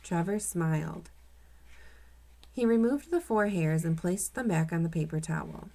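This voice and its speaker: A woman's voice: fairly low pitch, a little slow, gravelly, dark tone. A woman reading a children's storybook aloud as the narrator.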